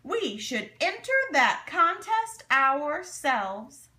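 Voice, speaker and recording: A woman's voice: sits high, sing-song, extremely slow, sharp tone, echoey room, heavily acted. A woman voicing an earnest farm man who rallies his club in an emphatic, oratorical storybook voice. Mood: rousing. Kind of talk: storybook character voice.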